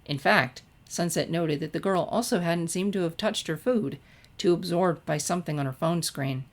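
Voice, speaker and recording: Low-pitched woman narrating a story. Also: normal speed. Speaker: fan fiction reader. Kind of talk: scripted story narration with character voices.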